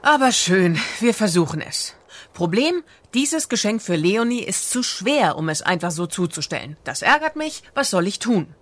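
Santa's wife, grumbly fed up woman, normal pitch, expressive, kids audio drama. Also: moderate pace. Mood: annoyed. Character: Santa's wife, exasperated stand-in gift deliverer. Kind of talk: fairy-tale audio drama.

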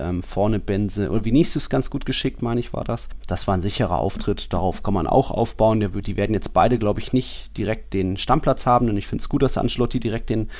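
A man's voice: on the low side, a little mellow. A man co-hosting a football podcast, giving casual analysis in a loose, rambling, colloquial way.

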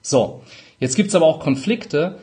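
Higher-voiced man. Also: lively intonation, measured pace, mellow. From Palatinate, Germany. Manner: illustrates points with examples and acted-out quotes. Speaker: interview guest, lawyer, mediator and negotiation trainer. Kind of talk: conversational explaining in an interview.

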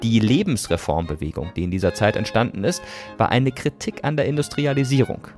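Guy hosting a podcast, scripted but chatty, animated intonation, bit of music under him. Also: mid-range voice, brisk. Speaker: podcast host. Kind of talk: scripted narration with conversational moments.

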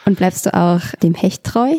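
A woman's voice: on the low side, normal speed, warm tone, no echo. A woman hosting an interview podcast, asking long, friendly, rambling questions. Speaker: podcast host.

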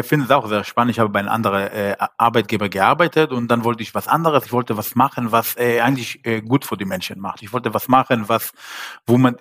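A man's voice: medium pitch, a bit bright. A man whose first language is not German, a podcast guest speaking hesitantly with frequent fillers and grammar slips. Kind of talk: podcast interview.

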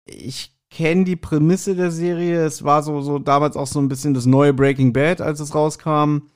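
A man co-hosting a podcast, chatting casually and retelling stories in a colloquial, somewhat halting way. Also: fairly high pitch, flat delivery, a little mellow, smooth. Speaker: podcast co-host. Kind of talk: casual podcast chat, reviewing audio dramas.

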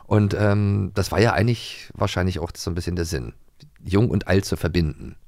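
A man's voice: low voice, normal speed, creaky, a little mellow, no room sound, clean studio sound. A man from former East Germany co-hosting a podcast, chatting enthusiastically in loose, restarting sentences. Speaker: podcast co-host. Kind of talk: casual chat about a children's musical.

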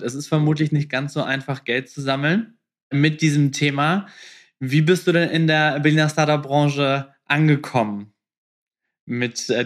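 A man speaking as a podcast host, putting questions to a guest in a conversational way. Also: mid-range voice.

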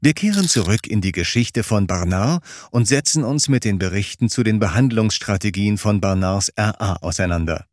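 A man narrating a scripted documentary in a measured, factual way. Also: fairly low pitch, no room sound, clean studio sound.

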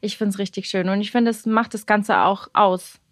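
A woman's voice: mid-range voice, steady tone, moderate pace, no room sound, studio quality. A young woman singer with a Swiss German accent, chatting casually in an interview and laughing often. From Portugal, raised; moved to Switzerland. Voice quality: bubbly, laughs often, many fillers. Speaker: singer guest.

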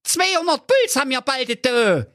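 A man voicing a foul-mouthed hare and hedgehog, squeaky, crude and cursing. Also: sing-song, moderate pace, fairly bright tone, no echo, studio quality, exaggerated voice. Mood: indignant.

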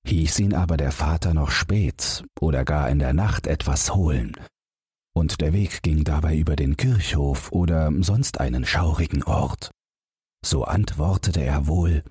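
Deep, husky male fairy-tale narrator. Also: a little slow, a little mellow, dry recording, studio quality. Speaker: audiobook reader. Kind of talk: scripted fairy-tale narration, bedtime listening.

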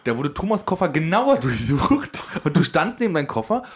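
Husky man with a lively swinging pitch. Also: brisk. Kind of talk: casual anecdote telling.

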